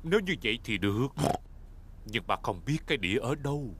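Man voicing a hesitant cartoon daddy pig, swooping pitch. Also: very animated, a little fast, close to an everyday voice. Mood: pleased, easygoing. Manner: hesitant, humming and hawing. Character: good-natured, hesitant father pig. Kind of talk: Vietnamese-dubbed preschool cartoon acting. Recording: loud cartoon music behind.

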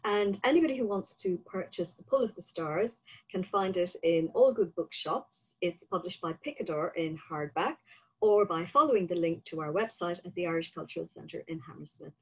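A woman's voice: lowish voice, normal speed, husky. An older woman hosting an interview conversationally, feeling her way hesitantly through her sentences, recorded on a video call. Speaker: interviewer, festival host. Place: indoor room with bookshelves. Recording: slightly dull video-call audio.

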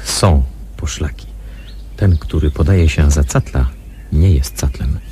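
An actor playing a wary, thoughtful undercover investigator in a radio drama, with a measured, questioning manner. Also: male voice, extremely low pitch, mellow. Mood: wary, probing. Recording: noisy recording with background ambience.

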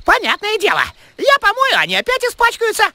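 A man's voice: very high voice, wildly swooping pitch, brisk, pushed and bright, dry recording, heavily acted. A man voicing a brash, stubborn young rabbit in a cartoon, with a cocky, bossy way of arguing. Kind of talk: animated cartoon dialogue.

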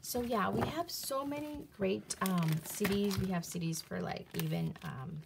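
A woman casually showing her CDs and reading their back covers aloud, halting often with fillers and restarts, recorded on a phone camera above a table indoors. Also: medium pitch, creaky, heavy background noise. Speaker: video creator reviewing CDs. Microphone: phone camera filming her hands on a table from above.

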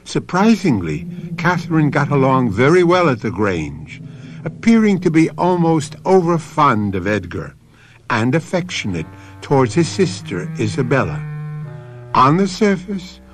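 A man hosting and narrating a radio drama as a measured, theatrical storyteller. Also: on the high side, big pitch swings, over music. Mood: solemn. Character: theatrical storyteller host of a mystery anthology. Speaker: host and narrator.

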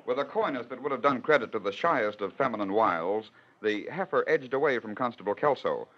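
An American man with a mid-pitched voice narrates as a 1940s radio host. His delivery is theatrical and wry, heard through a dull, lo-fi old broadcast recording. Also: lively intonation, brisk, slight background noise.

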